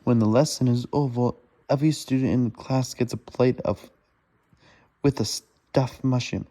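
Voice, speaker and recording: A man reads a children's storybook aloud haltingly, stumbling over words and correcting himself as he goes. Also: medium pitch, fairly even intonation, warm tone. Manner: stumbling, laboured reading with self-corrections.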